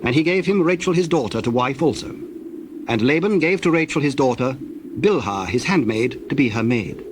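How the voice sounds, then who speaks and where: A man narrating scripture as a formal, measured storyteller in a scripted dramatization. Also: medium pitch, lively intonation. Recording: clear background noise, birdsong and doves.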